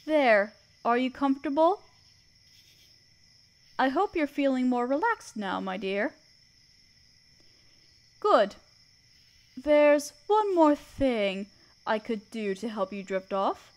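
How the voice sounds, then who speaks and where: A woman acts out a regal spider queen with a fairly high, soft voice. She is motherly and protective, with an old sorrow behind her. Her delivery is deliberate and soothing, made for a comforting roleplay audio drama. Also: big pitch swings, full character voice. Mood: soothing, tender.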